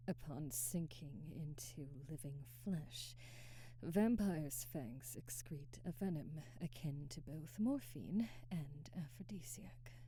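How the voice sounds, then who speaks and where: Casting: actress for aristocratic female vampire, ASMR roleplay. Low, dark, breathy voice, often whispered, formal, teasing and hungry but restrained. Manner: slow, formal diction with teasing, halting restraint. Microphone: close, dry microphone.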